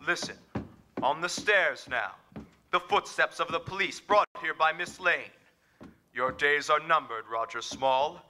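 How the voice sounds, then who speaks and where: Grim invisible avenger, very sharp forceful tone, slow menacing delivery, mocking, commanding, old radio drama. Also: male voice, a little high, slight background noise. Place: live stage performance at a convention.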